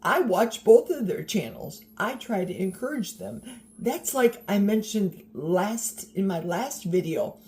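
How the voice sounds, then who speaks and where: A woman's voice: mid-range voice, animated, measured pace, a little mellow. An older woman chatting casually to her camera while cooking at home, encouraging and a little wry. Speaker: vlogger. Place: home kitchen. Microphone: handheld camera.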